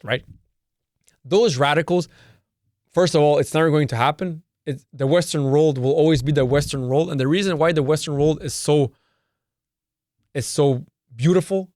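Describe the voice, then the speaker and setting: Man, Serbian mother tongue, fairly high voice, dry close sound, emphatic and repetitive, swears. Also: dry recording. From Canada. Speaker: podcast host. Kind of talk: solo opinion monologue.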